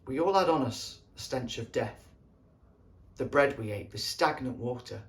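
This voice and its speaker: A man playing a wistful British soldier of the First World War, reminiscing tenderly in an earthy, halting stage monologue. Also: mid-range voice, expressive, natural voice. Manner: colloquial, earthy, halting storytelling. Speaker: actor performing an audition monologue.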